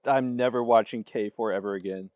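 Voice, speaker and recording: A man's voice: lowish voice, fairly even intonation, fairly quick, darkish tone, highs cut off, soft background music. A man giving casual, off-the-cuff reaction commentary as a livestreamer. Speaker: livestreamer reacting to fan-made videos.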